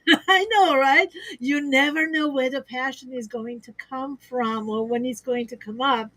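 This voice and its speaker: A woman with a fairly high voice talks through business partnerships in an upbeat, conversational way. She laughs easily and builds her points from examples. The sound is dry and close, as on a video call. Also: fairly even intonation, unhurried, bright, forceful tone, no echo, poor audio. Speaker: expert guest on a business livestream.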